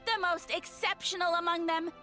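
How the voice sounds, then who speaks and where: A woman's voice: extremely high pitch, fairly even intonation, moderate pace, music in the background. A woman playing a boastful, evasive little male mushroom god in a video game, with a pompous, theatrical, taunting manner. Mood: grandiose. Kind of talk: video game character acting.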